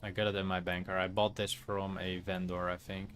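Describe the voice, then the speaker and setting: A Dutch man with a low-pitched voice streams his gameplay. He comments casually in Dutch-accented English as he goes, with faint game noise behind him. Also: little pitch movement, a little fast, fairly bright tone. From Netherlands.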